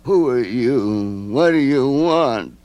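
A man voicing a slow, timid mope creature. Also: mid-range voice, animated, darkish tone. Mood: timid wondering. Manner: slow, halting, wide-eyed fearful. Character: timid gloomy furry male mope creature.

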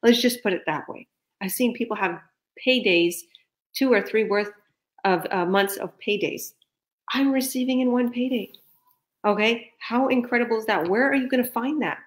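A woman's voice: on the high side, normal speed. An enthusiastic young woman with a New Jersey and Toronto accent hosts a video call. She talks emphatically and laughs easily. The sound is that of a call from a small room. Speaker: host and business coach.

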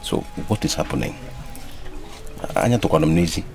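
A man's voice: extremely low pitch, big pitch swings, normal speed, gravelly, a little mellow, heavily acted. A man playing a cautious, concerned father. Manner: hesitant, concerned. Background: background music.

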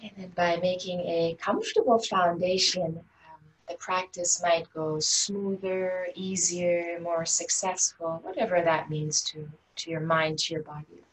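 A woman meditation teacher speaks gently and without hurry, offering soft invitations. Her voice sits on the lower side. It is picked up from a distance, with faint noise in the background. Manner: gentle, unhurried, inviting phrasing. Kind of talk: guided meditation instruction.

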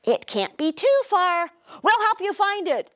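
A woman voicing a bunch of small, excited talking crayons in a read-aloud picture book, with an eager, squeaky delivery. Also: measured pace, full character voice.

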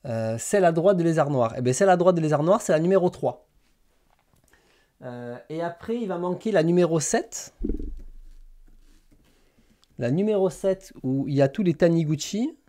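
Male streamer, thirties, fairly high mellow voice, casual and thinking aloud. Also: expressive, normal speed, long pauses. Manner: hesitant, thinking aloud while typing. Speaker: live stream host. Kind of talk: casual live stream chat. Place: small indoor room lined with bookshelves.

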